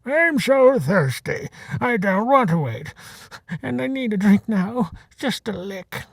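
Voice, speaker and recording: A man's voice: high-pitched, sing-song, slow pace, gravelly, slightly rough, dry recording, studio quality, voice pushed up, full character voice. A man voicing a stubborn old male water buffalo with a pleading, whiny manner. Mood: impatient, pleading.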